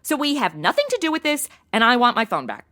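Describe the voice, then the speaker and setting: A woman's voice: fairly high pitch, big pitch swings, quick pace, pushed and bright. An actress playing a brash, self-absorbed, sassy modern American woman in an audio comedy, blunt and flippant. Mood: flippant, impatient. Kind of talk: comedy audio drama acting.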